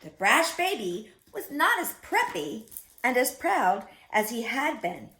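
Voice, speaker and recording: A woman's voice: on the high side, sing-song, a little slow, bright, forceful tone, a little hiss or hum, poor audio. A woman reading a picture book aloud to young children as a story-time host, theatrical and often putting questions to her listeners.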